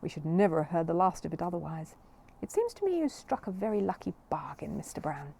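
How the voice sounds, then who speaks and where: A kindly older storybook gentleman, played by a woman, tactful and reflective. The voice is low, soft and mellow, with gentle, measured musing. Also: expressive, vocal fry, husky, heavily acted. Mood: amused.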